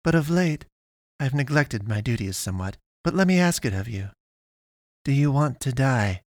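Man voicing an eerie, high-pitched otherworldly girl. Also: animated, normal speed, darkish tone, dry recording, studio quality, lightly acted. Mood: earnest, probing. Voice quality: accented. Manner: stilted, archaic, oddly formal phrasing. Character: otherworldly young girl pastoress, calm, odd, gun-toting.

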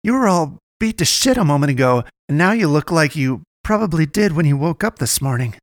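A woman's voice: deep voice, expressive, measured pace, dry recording, studio quality, pitched above the performer's own voice, heavily acted. A man reading a story aloud, voicing a panicky, foul-mouthed young woman who stammers and swears. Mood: demanding.

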